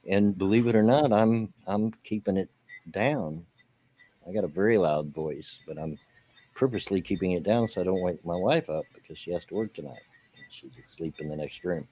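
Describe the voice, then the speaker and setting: A man's voice: low-pitched, moderate pace, mellow, slight background noise, poor audio. A man with an American accent, co-hosting a gaming stream in casual, folksy, plain-spoken banter, close to the microphone. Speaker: co-host of a gaming radio stream. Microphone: close microphone at a home setup.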